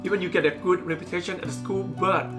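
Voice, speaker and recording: A speaker from Thailand with as a gives interview answers in hesitant English, pausing to find words and leaning on "you know". The voice sits at an ordinary middle pitch. A music bed plays quietly underneath. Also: steady tone. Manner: halting, searching for words, frequent "you know".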